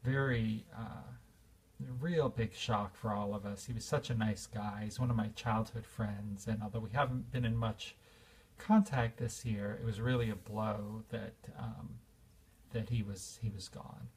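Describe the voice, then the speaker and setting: A man's voice: on the low side, fairly even intonation, a little slow, darkish tone, breathy edge, recorded from a distance. A man in his fifties giving an earnest, reflective spiritual talk, with fillers and restarts. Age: 50s. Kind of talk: informal spiritual talk to an audience.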